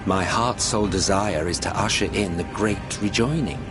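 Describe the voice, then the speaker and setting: An actor playing an ancient, scornful immortal sorcerer in a video game, theatrical and condescending, with a drawn-out sardonic delivery. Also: male voice, sits low, music in the background, lightly acted. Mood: grave disdain. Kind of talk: dramatic video game voice acting.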